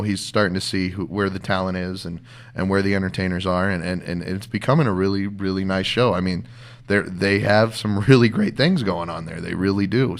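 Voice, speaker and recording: Low-voiced rambling male wrestler guest. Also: gravelly. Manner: rambling, halting, laughs often. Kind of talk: casual interview conversation.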